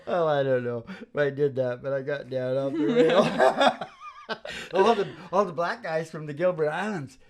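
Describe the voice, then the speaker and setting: A man's voice: a little high, normal speed. An older man chatting casually as a musician hosting a show, rambling and joking in a folksy way and laughing often. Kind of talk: casual chat and storytelling between songs. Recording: live acoustic guitar playing alongside. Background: acoustic guitar.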